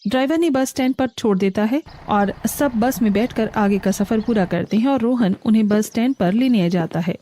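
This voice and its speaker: A woman's voice: fairly high pitch, normal speed, warm tone, slight background noise. A woman storyteller reading scripted narration for a cartoon family story.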